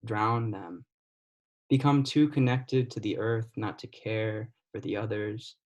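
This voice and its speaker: Young guy reading poems over a video call, steady and deliberate, mid pitched, trails off quiet at line ends. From United States. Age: 20s. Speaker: poet. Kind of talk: poetry reading.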